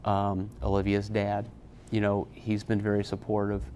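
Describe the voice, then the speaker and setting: A middle-aged American man speaking haltingly, with pauses, in an unscripted news interview. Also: on the low side, monotone, darkish tone, slight background noise. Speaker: school principal interviewed.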